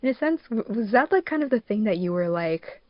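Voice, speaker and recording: A woman hosting a casual podcast chat, thinking aloud haltingly and leaning on filler words as she puts questions to her co-hosts. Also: on the high side, lively intonation, no room sound, muffled recording. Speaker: podcast host and moderator. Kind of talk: casual podcast chat among a fandub crew.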